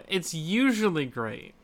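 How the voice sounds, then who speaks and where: A man co-hosting a podcast, casually discussing video games and griping in an animated way with casual swearing. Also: fairly high pitch, slow pace. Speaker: podcast co-host.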